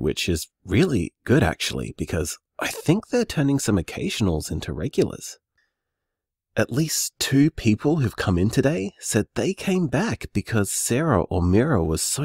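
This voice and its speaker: An actor playing an easygoing, affectionate man who runs a bar, talking conversationally in an audio drama, warm and teasing. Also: on the low side, big pitch swings, moderate pace, husky, no room sound, clean studio sound, full character voice. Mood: fond, teasing. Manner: warm, teasing, rambling reassurance. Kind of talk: audio drama voicemail acting, naturalistic and conversational.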